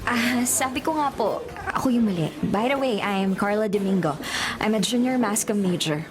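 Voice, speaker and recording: An actress playing an excitable, lovestruck young college student in a radio drama, gushing theatrically in mixed Tagalog and English. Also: female voice, medium pitch, moderate pace, natural voice. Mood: sheepish. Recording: music playing behind the voice.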